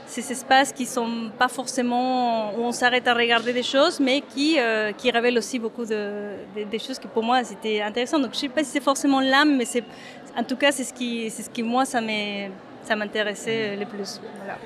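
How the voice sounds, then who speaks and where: A hesitant woman from Mexico with a somewhat high voice answers an interviewer's questions about her work. She restarts her sentences often and fills pauses with "uh" as she searches for words. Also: fairly even intonation. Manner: hesitant, many fillers and restarts. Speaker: photographer. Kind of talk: interview at an exhibition opening.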